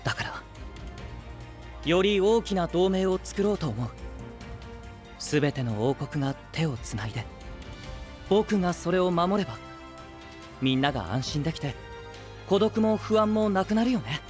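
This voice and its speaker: An actor playing a gentle, idealistic young knight who leads an alliance, speaking softly and earnestly in anime audio drama style. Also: male voice, high-pitched, very animated, soft background music. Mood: earnest, resolved. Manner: soft-spoken, earnest, measured.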